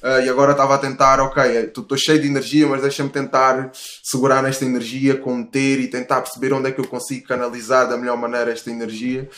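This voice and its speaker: A man's voice: mid-range voice, monotone, quick pace, a bit bright, echoey room. A thirty-year-old man with a European Portuguese accent, a musician talking casually and at length in an interview, with many restarts and fillers. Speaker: musician guest.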